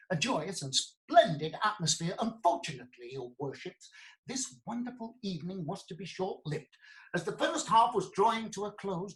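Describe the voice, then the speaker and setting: A woman's voice: mid-range voice, lightly acted, low-quality recording. A woman playing an earnest prosecuting lawyer in a comic courtroom radio play, formally and theatrically recounting a case. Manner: formal, storytelling, deferential.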